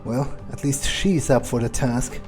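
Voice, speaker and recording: A man voicing a cold, aloof vampire in an in-character game playthrough, measured and sardonic, as if musing to himself. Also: mid-range voice, music in the background, close to an everyday voice. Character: cold, aloof male vampire, darkly dismissive.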